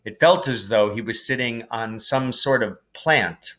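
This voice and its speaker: A man reading a storybook aloud as scripted narration, in an expressive, dramatic manner. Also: mid-range voice, unhurried.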